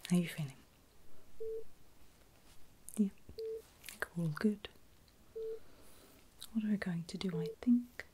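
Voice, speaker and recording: Slow, whispering ASMR woman. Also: low-pitched, a little hiss or hum, rough recording. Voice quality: soft, breathy whisper. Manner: gentle, reassuring, unhurried. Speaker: ASMR performer playing a medical carer. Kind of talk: whispered ASMR medical roleplay.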